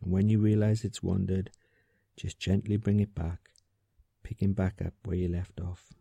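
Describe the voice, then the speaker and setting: Very mellow, low, gravelly male meditation guide. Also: little pitch movement, dry recording. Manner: soothing, gentle, unhurried, with pauses. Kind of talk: guided sleep meditation, calm instruction.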